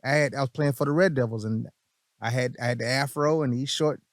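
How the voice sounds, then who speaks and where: A man's voice: medium pitch, fairly quick, no room sound. A man, a DJ guest on a studio podcast, chatting in a laid-back, matter-of-fact way with short affirmations. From Texas, USA. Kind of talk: casual chat.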